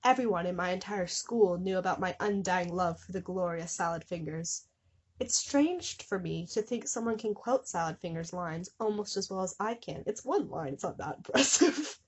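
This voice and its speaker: A young woman hosting a casual comedic reading of fan fiction, amused and often breaking off with mock-suffering asides in a slightly husky voice. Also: lowish voice, animated, moderate pace. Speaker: host of a small channel.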